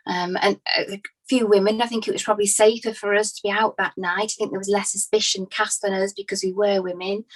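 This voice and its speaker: A woman's voice: medium pitch, close to an everyday voice, poor audio. A woman playing a devoted, grieving female follower giving hesitant, conversational testimony in a dramatized inquest. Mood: earnest, devoted.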